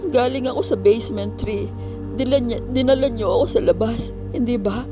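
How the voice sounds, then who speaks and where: Narrator acting a high, panicked, pleading horror victim. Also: moderate pace, music in the background, natural voice. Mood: frightened pleading. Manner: pleading, panicked, repeating denials. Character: Lenny, terrified overtime worker trapped in a car.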